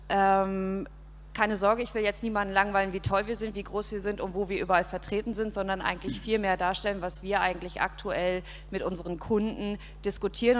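A woman's voice: medium pitch, slight background noise. Woman in her 40s talking into a handheld mic, sounds like a big reverberant stage. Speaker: panel speaker, consultancy partner. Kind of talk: conference talk. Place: outdoor event stage.

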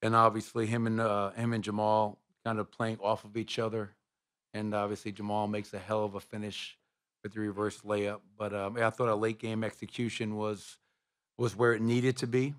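A middle-aged man, a basketball head coach, answering reporters' questions at a postgame press conference in a press room, speaking into a table microphone with measured answers and frequent fillers. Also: on the low side, little pitch movement, a bit bright. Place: press room in front of a sponsor backdrop.

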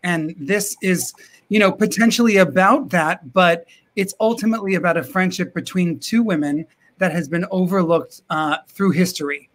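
A man's voice: high-pitched, normal speed, a bit bright. A man in his forties hosting a live video-call interview from home, enthusiastic and full of fillers. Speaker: host. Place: home room with brick wall. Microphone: video call webcam.

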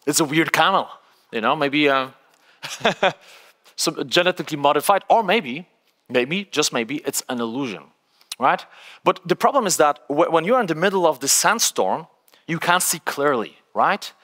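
Lively Polish-accented man on a big stage. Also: fairly high pitch, moderate pace. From Poland. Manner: energetic, conversational, frequent "right?" tags. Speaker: speaker. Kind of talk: stage workshop talk. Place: large conference stage with audience. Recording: long hall reverb.

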